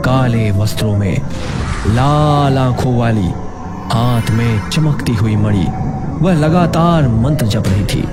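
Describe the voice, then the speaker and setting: A man's voice: medium pitch, slow. A man narrating a cartoon story in a dramatic, suspenseful way. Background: loud background music.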